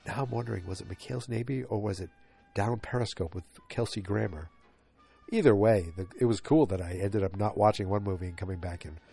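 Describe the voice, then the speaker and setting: A man's voice: fairly low pitch, creaky, mellow, breathy edge. A man in his forties with a stuffed-up, congested voice, hosting a solo podcast and rambling with many restarts and fillers. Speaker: podcast host. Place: home, late at night.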